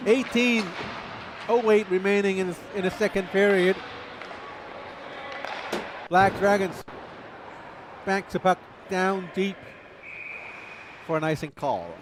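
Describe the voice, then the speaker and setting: A man's voice: high voice, steady tone, slow pace, long pauses, noisy background. A man commentating live ice hockey, a native Chinese speaker, chatty and self-deprecating, laughing between play-by-play calls.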